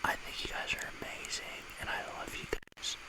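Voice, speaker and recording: A man whispering to his viewers as an ASMR creator, speaking in a halting, sincere way.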